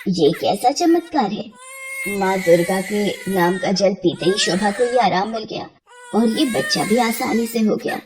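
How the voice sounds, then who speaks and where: A woman's voice: medium pitch, expressive, a little slow, darkish tone, a little hiss or hum, close to an everyday voice. A woman playing a kindly village neighbour, an elder-sister figure, exclaiming and congratulating in a bedtime story. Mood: amazed, congratulatory. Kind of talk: acted dialogue in a Hindi bedtime story.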